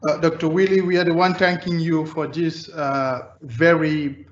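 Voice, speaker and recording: A man with a slightly high voice moderates an online webinar, polite and hesitant as he hands over to a speaker. His call audio sounds roomy and muffled. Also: little pitch movement, measured pace, slight background noise. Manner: polite and hesitant, with many uh fillers.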